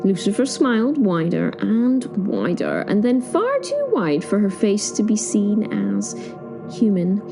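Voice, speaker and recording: A woman playing a wry, awed witness, narrating a horror audio drama in the first person with suspenseful pauses. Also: medium pitch, huge pitch swings, vocal fry, dark tone, heavily acted. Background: music.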